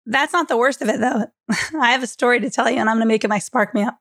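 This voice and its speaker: A woman co-hosting a podcast, hoarse and losing her voice after a cold, telling a story in casual chat. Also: on the high side, quick pace, fairly bright tone, no echo, studio quality. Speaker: podcast co-host.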